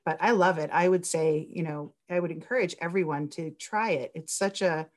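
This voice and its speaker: A woman with a moderately low voice talks casually on a panel. She is enthusiastic and effusive, giving her answers as a writer among others. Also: moderate pace. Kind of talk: casual Q&A talk.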